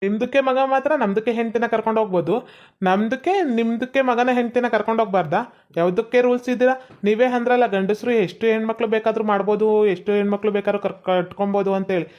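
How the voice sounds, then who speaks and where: A man's voice: sits high, fairly even intonation, fast talker, darkish tone, lo-fi sound, lightly acted. Man playing a cheeky man in broken Kannada. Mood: arguing. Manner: odd broken phrasing, cheeky. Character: brash young man speaking broken Kannada. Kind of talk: comedy skit acting.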